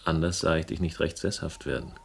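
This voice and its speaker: An actor playing a world-weary, sardonic hero of a radio crime drama, speaking in a dry, laconic way. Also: male voice, rumbling low, fairly even intonation, brisk, gravelly, slightly rough, full character voice. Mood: hesitant, reflective. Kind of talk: German radio crime drama, first-person narration and dialogue. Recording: faint noise, older radio production.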